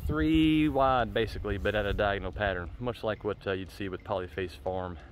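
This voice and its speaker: Casual farmer, faint outdoor noise. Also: male voice, lowish voice, a little fast. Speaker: farmer and vlogger. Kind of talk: casual farm tour vlog. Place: outdoors on a farm, windy. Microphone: handheld phone, glove over microphone against wind. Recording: windy outdoor handheld phone recording.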